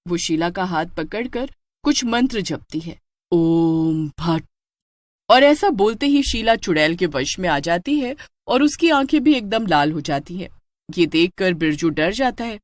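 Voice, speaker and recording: A woman storyteller delivers dramatic scripted narration. Her mid-pitched voice moves with lively intonation in a dry, close recording. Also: moderate pace, dry recording.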